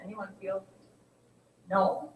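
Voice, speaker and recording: A woman's voice: mid-range voice, takes it slowly. A woman hosting a forum, welcoming people with brief prompts. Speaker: event host. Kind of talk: moderating a forum. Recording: echoey hall, mic from a distance.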